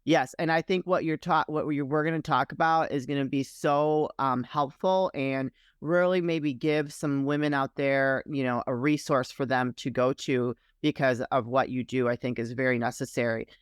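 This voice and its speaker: A woman's voice: rumbling low, steady tone, moderate pace, few pauses, clean tone, dry recording, studio quality. A woman hosting a podcast interview, upbeat and chatty, talking straight to her listeners. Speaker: podcast host. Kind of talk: casual interview chat.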